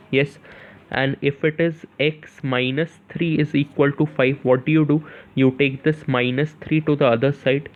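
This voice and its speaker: A young man with an Indian accent gives an informal step-by-step maths lesson, asking questions and answering them himself, often repeating phrases and checking in with short confirmations. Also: mid-range voice. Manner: repeats phrases, punctuates with "yes" and "okay". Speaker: maths tutor. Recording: narrow, tinny phone-like sound.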